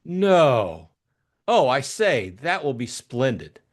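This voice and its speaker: A man's voice: medium pitch, sing-song, takes it slowly, pitched above the performer's own voice. Man voicing an eager, lilting young English toff. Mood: chummy, earnest. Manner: chummy, exclamatory, slangy. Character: eager, breezy young upper-class gentleman amateur sleuth.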